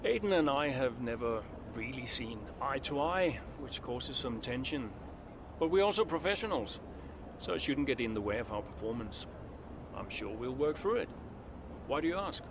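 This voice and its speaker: An actor playing a proud, guarded veteran racing driver in a video game, terse and defensive in manner. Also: male voice, mid-range voice, expressive, normal speed, noisy background, close to an everyday voice. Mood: guarded calm. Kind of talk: dramatic video game cutscene acting.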